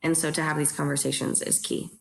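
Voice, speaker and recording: Low-voiced woman, reflective and emphatic. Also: little pitch movement, fast, creaky, a little hiss or hum. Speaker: theatre director in a panel talk. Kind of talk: earnest panel discussion.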